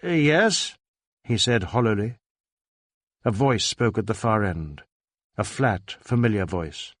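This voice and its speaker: A man narrating a crime novel in a measured, dramatic way. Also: lowish voice, no echo, clean studio sound.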